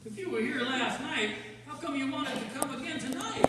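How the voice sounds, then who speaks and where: Radio-play boy sidekick, acted, eager and excitable, lively high voice, recorded from far off in a noisy, echoing hall. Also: normal speed. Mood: curious. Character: excitable young boy Junior, eager nephew of detective. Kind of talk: stage radio-play acting.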